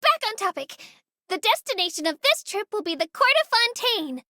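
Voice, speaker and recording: A woman's voice: very high voice, very animated, moderate pace, sharp tone, no room sound, studio quality, heavily acted. A woman voicing a small, girlish creature tour guide in a game, earnest, polite and a little hesitant as she gives her commentary. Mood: cheerful. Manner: polite, earnest, slightly hesitant, self-effacing.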